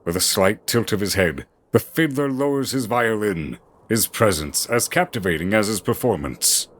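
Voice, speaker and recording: A man's voice: fairly low pitch, animated, moderate pace. A man reading scripted fantasy story narration as the narrator of an audio drama.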